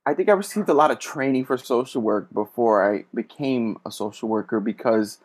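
A man who works as a social worker, a guest in a casual conversation, speaking thoughtfully and hesitantly with frequent pauses and fillers. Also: medium pitch.

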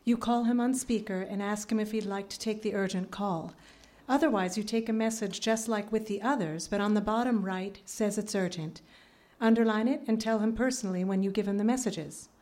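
Casting: actress for a wry, pragmatic office manager, dark relaxed timbre, deadpan delivery, live radio play. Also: female voice, mid-range voice, fairly quick, lightly acted. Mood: brisk instructing. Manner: crisp, instructive, deadpan. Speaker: actor. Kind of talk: live radio drama acting.

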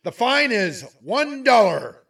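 Slow, high-pitched stern judge. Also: male voice, big pitch swings, gravelly, heavily acted. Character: stern courtroom judge passing sentence, loud and declamatory. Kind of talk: radio drama acting. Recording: very noisy, distant from mic.

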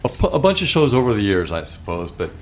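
Male radio producer, deep voice, halting casual talk, faint noise behind. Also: big pitch swings. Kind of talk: casual, halting self-introduction.

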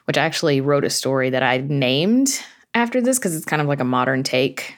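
A woman with a notably low voice talks as a guest in a relaxed interview. She explains her opinions in an informal, chatty style, thinking things through as she goes. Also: brisk. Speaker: interview guest, horror fiction podcast creator. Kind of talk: casual conversational interview.

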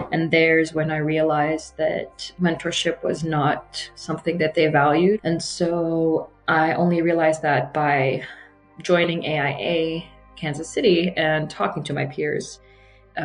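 A woman in her thirties, from Iraq and raised in Abu Dhabi, telling her career story in a measured, reflective way. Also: deep voice, creaky, low-quality recording. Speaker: architect interviewee. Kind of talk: personal testimonial.

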